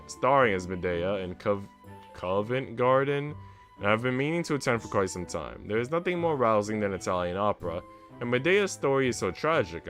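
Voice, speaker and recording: A man's voice: on the low side, fairly bright tone. A man voicing a wealthy, kindly elderly noblewoman in a visual novel, with a genteel, reassuring manner. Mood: warm, eager. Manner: genteel, reassuring, passionate about the arts. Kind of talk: visual novel dialogue read aloud.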